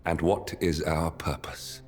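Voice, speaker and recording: An actor playing a grave, driven rebel conspirator in a video game, speaking in a measured, solemn, intense way. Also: male voice, extremely low pitch, fairly even intonation. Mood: grave, urging. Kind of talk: dramatic video game cutscene acting. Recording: voice under loud cinematic music.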